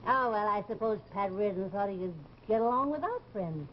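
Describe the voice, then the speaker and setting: A woman's voice: medium pitch, lively intonation, fairly quick, close to an everyday voice. An older woman playing a folksy, gossipy landlady and former stage fortune teller in a television drama, with theatrical exclamations. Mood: eager, confiding. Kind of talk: 1950s television drama acting. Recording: dull, noisy old TV soundtrack.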